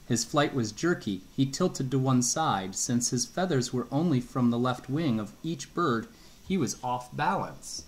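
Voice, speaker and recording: Middle-aged man, slow expressive storybook read-aloud with questions to a child, animated pitch. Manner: unhurried, expressive, asks questions as he reads. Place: home kitchen.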